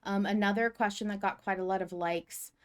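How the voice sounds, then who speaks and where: A woman co-hosting a casual fan podcast, talking in an animated, emphatic and rambling way with informal language. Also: mid-range voice, brisk, smooth. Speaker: podcast co-host.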